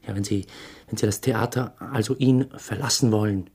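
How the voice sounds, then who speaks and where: A brooding, suspicious man of the theatre in a radio drama. His Austrian-accented voice is low and creaky. He tells things intimately, with dry understatement. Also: steady tone, normal speed, a little mellow. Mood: uneasy, suspicious. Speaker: actor narrating a radio drama in the first person. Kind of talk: German-language radio drama acting, intimate narration.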